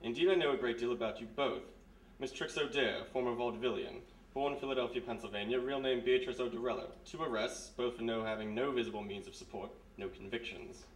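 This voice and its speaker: A polite, quietly menacing British officer played by a man, speaking in a formal, insinuating way. It is a radio mystery on a live stage, with the voice set back in an echoey room. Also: medium pitch, quick pace, close to an everyday voice. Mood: smug, formal. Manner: formal, clipped, smugly insinuating. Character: courteous, menacing British military police major. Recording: echoey live stage, distant, faint noise.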